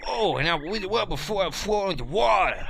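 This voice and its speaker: A man voicing a fragile, melodramatic talking flower girl with a high, wailing, theatrical delivery, over game music. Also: animated, brisk, gravelly, fairly bright tone, full character voice. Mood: lamenting. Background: video game music.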